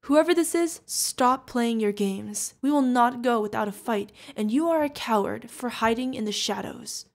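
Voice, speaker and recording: A woman's voice: a little high, measured pace, creaky, hardly any pauses. A woman playing a protective older witch mentor, fond, teasing and stern, in an ASMR fantasy roleplay. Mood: alarmed, defiant. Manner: affectionate teasing, mock-exasperated. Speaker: voice actor.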